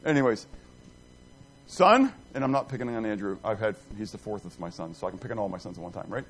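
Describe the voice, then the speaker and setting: A man's voice: lowish voice, quick pace, slight background noise, low-quality recording. Rambling, self-mocking male Bible teacher. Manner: rambling, self-deprecating, animated.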